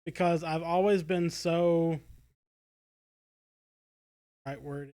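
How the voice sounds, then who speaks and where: Male podcast co-host, slightly higher-pitched, casual and discursive, halting delivery. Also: steady tone, dark tone. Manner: rambling, halting, self-correcting.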